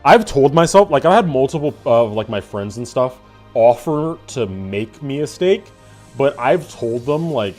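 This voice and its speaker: A man in his twenties chatting casually with his live-stream chat, laughing and swearing in quick asides. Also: medium pitch. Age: 20s.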